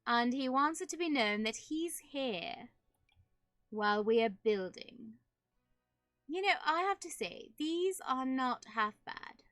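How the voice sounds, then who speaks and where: A high-voiced woman streams a building game in a put-on British accent. She talks casually and playfully, with affected genteel phrasing, addressing herself and her viewers. The sound is close and dry. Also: fairly bright tone, dry recording. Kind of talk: casual live game commentary, decorating rooms.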